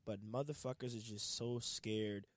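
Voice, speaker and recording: A man co-hosting a casual group conversation, animated and argumentative, swearing casually. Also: fairly low pitch, measured pace, no room sound. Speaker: podcast co-host.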